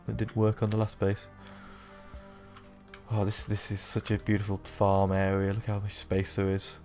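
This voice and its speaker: A young man with a sore, croaky throat, rambling casually through let's-play commentary with lots of fillers. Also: on the low side, monotone, moderate pace, darkish tone, soft background music. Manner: rambling, self-deprecating, with many fillers. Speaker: gaming YouTuber.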